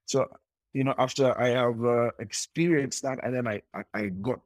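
A man's voice: medium pitch, normal speed, no echo, studio quality. A young man talking as an interview guest, telling his story in a rambling way with frequent restarts. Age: young adult. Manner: rambling with many restarts and laughs.

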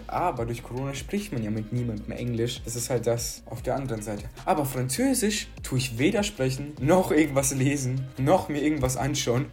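A teenage boy talks at a rapid clip, piling up slang and loose asides as he chats with a classmate. He tends to cut in and keep going, and soft music plays faintly behind him. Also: mid-range voice. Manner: rambling, youth slang, interrupts often. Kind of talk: casual chat between classmates.

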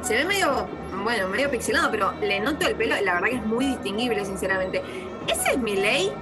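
A woman acts out an earnest radio anchor in a comic drama. She has a highish voice and an Argentine accent, speaking formally with hesitant repetitions over clear background music. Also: big pitch swings, fairly quick. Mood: awestruck. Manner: hesitant, formal, repeating herself. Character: earnest radio anchor hosting live alien contact. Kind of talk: comic radio drama, mock news hosting. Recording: music behind the voice.

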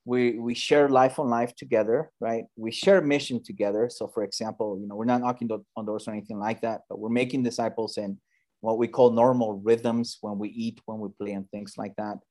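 A man's voice: on the low side, steady tone, brisk, a little mellow. A man in his thirties from the Bay Area, a church planter giving earnest, conversational interview answers full of fillers and restarts. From United States, Bay Area.

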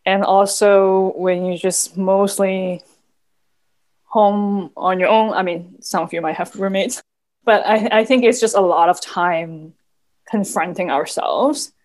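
A woman's voice: mid-range voice, fairly even intonation, takes it slowly. A woman whose first language is Cantonese, speaking as a podcast guest in a thoughtful, reflective way with frequent fillers. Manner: thoughtful, soft-spoken, many "like" fillers, quiet laughs. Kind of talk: reflective conversation.

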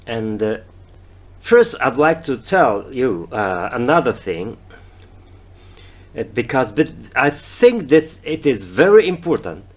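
A man in his fifties speaking English with a Japanese accent in a solo podcast, halting, with many fillers and restarts, stressing his key words. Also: medium pitch, sing-song. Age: 50s. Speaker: podcast host.

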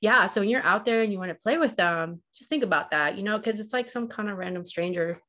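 A woman with a slightly stuffy nose, talking casually as a podcast guest. She keeps it relaxed and loose, leaning on fillers and "like" as she explains. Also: mid-range voice, fast talker. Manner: easygoing, many fillers and "like".

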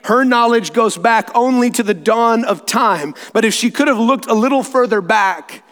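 A man's voice: extremely high pitch, moderate pace, a bit bright, voice pushed up. Man voicing a solemn great lion, echoing hall. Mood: solemn, assured. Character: great, calm, majestic lion speaking solemnly. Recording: echoey hall with long reverb.

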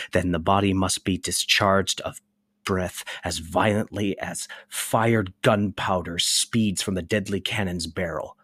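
A man playing an anguished, resolute young nobleman in a dramatic reading of a stage tragedy, declaiming deliberately. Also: low-pitched, fairly bright tone, no echo. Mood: urgent, forceful.

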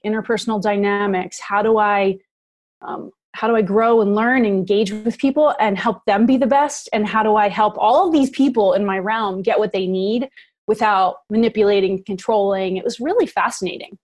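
A woman in her forties speaks as a guest on a conversational show. She talks in a candid, reflective way, speeding up in bursts and laughing now and then, at a middle pitch. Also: moderate pace, darkish tone. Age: 40s. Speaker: podcast guest. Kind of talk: casual interview chat.

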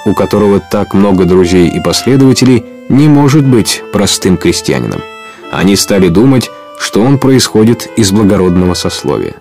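A man's voice: lowish voice, a little mellow. A man narrating an outlaw legend as the storyteller of a radio play, in scripted storybook style. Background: guitar music.

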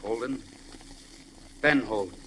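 An earnest but touchy American ex-convict in old radio drama. He talks in blunt slang with a hard, forceful tone, over faint recording noise. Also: mid-range voice, slow. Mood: earnest, bitter. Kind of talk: 1940s radio drama acting.

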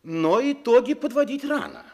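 An actor playing a fussy, jovial sanatorium literary club member in a radio drama, husky and florid in speech. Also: male voice, extremely high pitch, huge pitch swings, normal speed, heavily acted. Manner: florid, theatrical. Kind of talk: Russian radio drama acting.

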